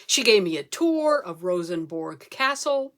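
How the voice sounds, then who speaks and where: A woman performing a comic story as a speech, theatrical, with dramatic pauses and emphasis. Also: medium pitch, sing-song, fairly bright tone. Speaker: club speaker telling a humorous tall tale.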